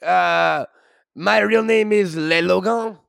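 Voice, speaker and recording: A man's voice: high voice, slow pace, vocal fry, a bit bright, smooth, no room sound, clean studio sound, heavily acted. A man voicing a chatty, easygoing French Canadian superhero in an improvised comedy, rambling hesitantly in broken English. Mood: chatty, earnest. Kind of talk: improvised comedy podcast in character.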